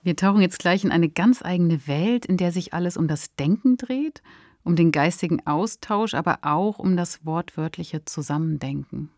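A woman's voice: lowish voice, animated, moderate pace, a little mellow, no echo, studio quality. A woman speaking as a podcast co-host in a conversational introduction.

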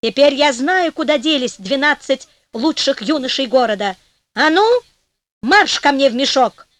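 A woman's voice: sits high, normal speed, fairly bright tone, dry recording. Bold young fairy-tale hero voiced by a woman, commanding, teasing, dry studio sound. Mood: indignant. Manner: commanding and mocking.

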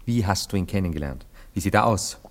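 An actor playing a jealous, agitated gentleman lover in a radio play, with an insistent, exasperated, melodramatic manner. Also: low-pitched, lively intonation, brisk. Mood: scornful. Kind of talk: radio play acting, theatrical.

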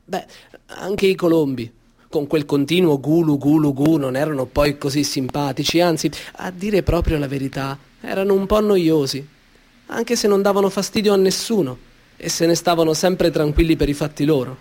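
A male storyteller reads a children's tale in a slightly high voice. His delivery is gentle and measured, with expressive turns on key phrases. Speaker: author reading his story. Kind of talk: storybook narration.

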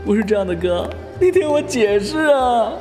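A performer plays a naive, excitable young male idol in a comic audio drama. The delivery is flustered and pleading, very animated in pitch, with music under it. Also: normal speed, lightly acted. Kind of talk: audio drama acting, comedic.

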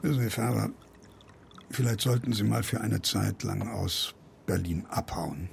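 An actor playing an eccentric older literature professor in a radio play, blustering, boastful and scolding in a pompous manner. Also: male voice, lowish voice, fairly even intonation, measured pace, vocal fry, slight background noise. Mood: lecturing.

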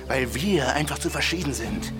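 An actor playing a bitter, tormented ape-human hybrid in a German radio drama, brooding in self-lacerating questions. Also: male voice, medium pitch, lively intonation, normal speed, over music, lightly acted. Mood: agitated, menacing.

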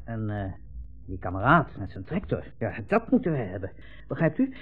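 A man's voice: fairly low pitch, wildly swooping pitch, normal speed, breathy edge, heavily acted. An actor playing a smug, insinuating army captain in a 1960s radio play, hemming as he puts condescending, leading questions. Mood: persuasive. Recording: muffled old radio recording, narrow band.

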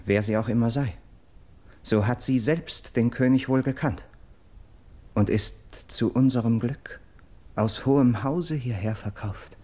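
An actor playing an earnest Greek captive in a classical verse radio drama, declaiming solemnly and dramatically. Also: male voice, medium pitch, measured pace, mellow, natural voice. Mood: hushed, hopeful. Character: earnest Greek captive bearing grim news of murder.

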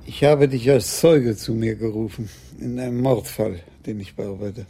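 Husky, gravelly stern police commissioner. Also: male voice, lowish voice, moderate pace, warm tone. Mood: resolute. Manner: formal, pointed, dry. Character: shrewd, stern male police commissioner, sardonic and unyielding. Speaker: actor in a radio play. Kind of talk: German radio crime drama acting.

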